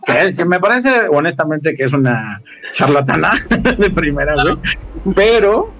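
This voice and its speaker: A Mexican man talks as a guest on a casual podcast. His voice sits a little higher than most men's. He tells things in an animated, slangy way and swears freely. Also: moderate pace.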